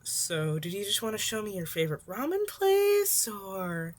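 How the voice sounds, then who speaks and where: Teen boy vigilante, female reader: nervy, defiant, sarcastic, higher register, audiobook character work. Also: huge pitch swings, measured pace. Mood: sheepish. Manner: rambling, indignant, sarcastic. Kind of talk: audiobook-style fan fiction character acting.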